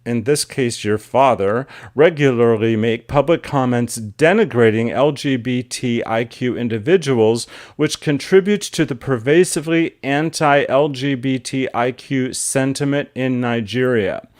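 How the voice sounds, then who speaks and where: A man's voice: medium pitch, a little slow, hardly any pauses, a little mellow. A man hosting an interview show over a video call, asking questions and reading a statement aloud with hesitant fillers. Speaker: talk show host.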